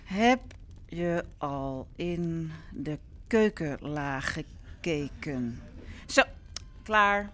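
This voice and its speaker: An actress playing a frank, teasing woman among friends in an audio drama, brisk, dry and matter-of-fact. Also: low-pitched, lively intonation, a little hiss or hum, full character voice. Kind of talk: naturalistic Dutch audio drama dialogue.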